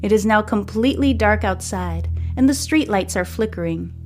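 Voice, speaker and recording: A woman's voice: mid-range voice, animated, moderate pace, a little mellow, soft background music. A woman reading a scripted story aloud as the narrator and host of a fiction podcast.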